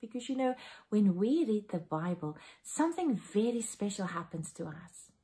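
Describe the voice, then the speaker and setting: Lively South African woman minister. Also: medium pitch, moderate pace. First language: Afrikaans. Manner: animated storytelling to children, asking questions. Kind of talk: children's talk in a family service. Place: living room at home.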